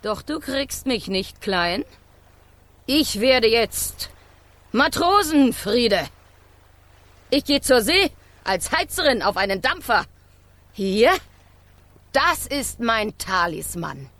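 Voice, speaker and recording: Langsam sprechende, resolute Hühnerbäuerin. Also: female voice, on the high side, big pitch swings, a bit bright, no room sound, full character voice. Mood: defiant, proud. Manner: theatrical, emphatic, drawn-out. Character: Hühnerfriede, gruff, stubborn countrywoman who keeps hens. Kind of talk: German children's radio play acting.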